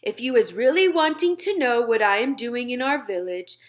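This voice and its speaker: A woman voicing a gentle, secretive male giant from a children's storybook, with muddled grammar and whimsical made-up words. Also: on the high side, animated, normal speed, pitched above the performer's own voice, close to an everyday voice. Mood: confiding. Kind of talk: children's storybook read-aloud.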